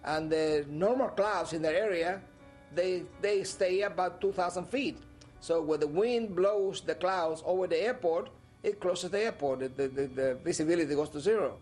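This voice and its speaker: A man's voice: high-pitched, moderate pace, darkish tone, soft background music. A man born in Cuba, an air crash investigator, recounting events casually in a documentary interview, with fillers and repeated words.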